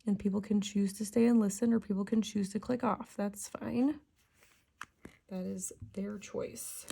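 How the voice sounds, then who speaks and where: A woman hosting a coloring channel, chatting casually while she works, with a raspy voice and a soft, relaxed, slightly self-conscious delivery that often drops to a half whisper. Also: medium pitch, unhurried. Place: home.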